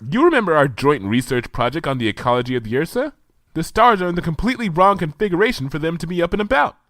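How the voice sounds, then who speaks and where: A man voicing an eager, bookish young female unicorn who talks in a brisk, earnest, slightly flustered way. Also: a little high, very animated, fairly bright tone, no echo, pitched above the performer's own voice.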